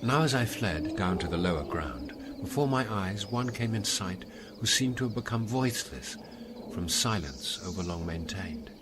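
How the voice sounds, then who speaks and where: A man with a low voice recites epic verse as a dramatic narrator. His phrasing is solemn and deliberate. The recording carries very heavy background noise. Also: expressive. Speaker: poetry reader. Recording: noisy, hissy background.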